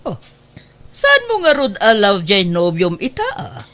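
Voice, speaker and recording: A woman's voice: mid-range voice, huge pitch swings, unhurried, a bit bright, dry recording, full character voice. A woman with an Ilocano accent playing a nosy, skeptical confidante who teases and advises in a comedy drama. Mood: incredulous. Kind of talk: comedy radio-style drama acting.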